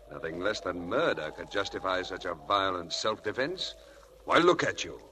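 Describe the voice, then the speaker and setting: An actor playing a charming, teasing young suitor with playful, mock-earnest banter in 1940s radio style. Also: male voice, deep voice, lively intonation, normal speed. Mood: amused teasing. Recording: noisy vintage radio broadcast recording.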